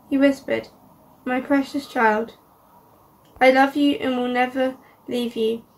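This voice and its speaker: A woman's voice: sits high, distant mic. A teenage girl reciting a poem steadily into a webcam in a bedroom at home. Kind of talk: reading a poem.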